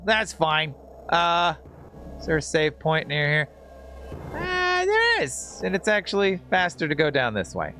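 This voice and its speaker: A man streaming a video game, giving casual, slangy, rambling and joking commentary to his chat. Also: on the high side. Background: video game music.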